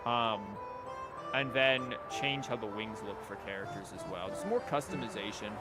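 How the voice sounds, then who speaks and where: A man's voice: lowish voice, normal speed. A man doing casual, rambling gameplay commentary as a streamer, with joking asides. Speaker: game streamer. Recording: loud music behind the voice. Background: game music.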